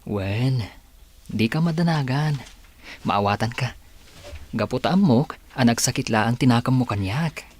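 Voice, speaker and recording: A man's voice: mid-range voice, expressive, measured pace, pauses often, a little mellow. Guy playing young ilocano son, earnest, polite, mid voice, radio drama style. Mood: tender. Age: young adult. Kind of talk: radio comedy-drama acting.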